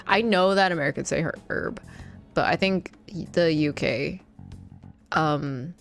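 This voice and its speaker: Canadian girl chatting while she plays, low voice, kinda rambly, faint game music behind. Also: unhurried, creaky. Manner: rambling, many fillers and restarts, laughs often. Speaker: game streamer. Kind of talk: casual chat while gaming.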